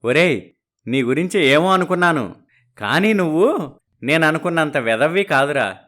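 A man playing a gruff, sardonic middle-aged father of a groom in a dramatized moral story. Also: on the high side, lively intonation, normal speed, a bit bright. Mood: sardonic amazement.

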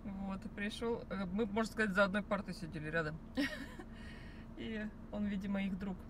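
A woman in her thirties talks to the camera from her parked car, chatty and rambling, full of fillers and restarts. Her pitch sits in the middle of the range. Steady car and street noise runs behind her voice. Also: normal speed. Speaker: vlogger. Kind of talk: casual chat. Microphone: phone camera on the dashboard.

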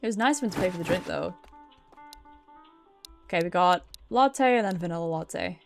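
A woman's voice: lowish voice, animated, moderate pace, creaky, soft background music. A British woman doing casual, chatty game stream commentary, swearing freely and reacting aloud as she plays.

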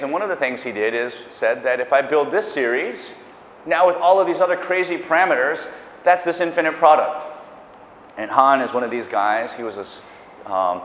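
A man's voice: mid-range voice, a little hiss or hum. A middle-aged man giving a public math lecture on a lapel mic, enthusiastic and chatty, with asides and self-corrections. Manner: enthusiastic, animated gestures, pacing the stage. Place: lecture hall. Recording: echoey lecture hall, dull sound.

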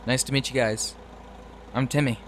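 A man acts out a cocky young guy in an audio drama. His voice is mid-pitched, his manner casual and goofy, naive yet wisecracking. There is faint vehicle noise behind him. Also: fairly even intonation, moderate pace, lightly acted. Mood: eager.

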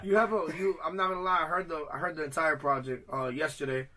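A young man from Harlem hosting an interview, excitable and repeating himself, laughing loudly. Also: fairly high pitch, fast talker. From Harlem, New York. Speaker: interviewer host. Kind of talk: casual interview chat. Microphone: handheld mic.

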